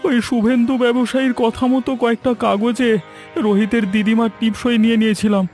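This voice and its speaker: A man's voice: extremely high pitch, flat delivery, fairly quick, darkish tone. A man voicing a cowardly, apologetic young village man in a cartoon, pleading and stammering. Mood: worried. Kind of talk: cartoon voice acting. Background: background music.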